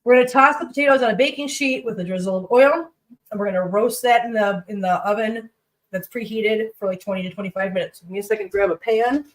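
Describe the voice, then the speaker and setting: A chatty woman at a middle pitch, cooking in her home kitchen and rambling to her viewers and her pets. She sounds congested. Her voice sits a little back from the mic. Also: fast, distant mic, rough recording. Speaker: cooking livestream host. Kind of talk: casual chat while cooking.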